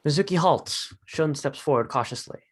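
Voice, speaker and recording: A man's voice: on the high side, moderate pace, breathy edge. A man reading stage directions as the narrator of a scripted drama.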